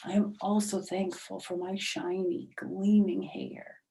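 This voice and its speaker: A woman's voice: mid-range voice, flat delivery, slow, gravelly, warm tone. A woman voicing a vain, snobbish rich little schoolgirl with a haughty manner.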